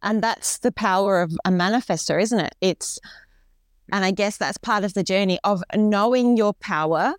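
A woman hosting a podcast, gently guiding the conversation and encouraging her guest. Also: mid-range voice, normal speed, no room sound, studio quality. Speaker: podcast host. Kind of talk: casual interview conversation.

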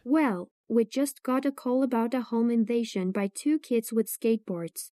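Man doing a gentle lady cop. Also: extremely high pitch, fairly even intonation, normal speed, no room sound, clean studio sound, voice pushed up. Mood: reassuring. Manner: soft, reassuring. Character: kind, gentle female police officer.

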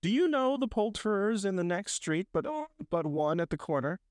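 A man's voice: on the high side, normal speed, clean tone, no room sound, studio quality, natural voice. A man voicing a repentant old miser in a storybook reading, fervent and exclamatory. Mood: excited. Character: repentant old miser, pleading then giddily overjoyed.

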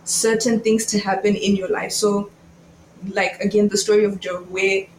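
A woman's voice: medium pitch, flat delivery, normal speed. A young woman on a podcast panel, explaining her thoughts in a casual, informal way. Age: young adult. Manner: informal, explanatory, filler-heavy with "like". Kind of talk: casual faith discussion. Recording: very echoey room, faint noise.

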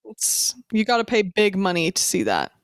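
A woman chats as a podcast co-host, slightly stuffed up from allergies. Her voice is smooth and clean, mid-pitched, recorded close in a dry space. She talks in a bubbly, loose way, peppered with "like". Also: very animated, moderate pace, dry recording. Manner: bubbly, says "like" a lot. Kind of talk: casual chat.

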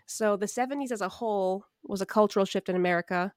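A woman's voice: medium pitch, fast talker, dry recording, clean studio sound. A woman co-hosting a podcast in casual chat, sick and a little nasal and scratchy, wry and self-mocking with frequent laughs. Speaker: podcast co-host.

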